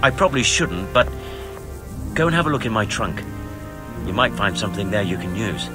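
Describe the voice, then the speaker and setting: An actor playing an earnest, courteous overseer in a medieval army camp for a video game, sincere in manner. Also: male voice, on the low side, very animated, brisk, music in the background. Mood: grateful, warm. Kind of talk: video game dramatic acting.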